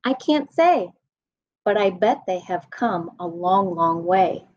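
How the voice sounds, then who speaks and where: Woman, 30s, children's storytime reader. Lively swooping intonation, unhurried rhyming delivery, slightly muffled webcam sound. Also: medium pitch. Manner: expressive, deliberate sing-song rhyme reading. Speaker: school staff nutritional specialist reading a children's storybook. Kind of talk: read-aloud storytime for children. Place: indoor room at home.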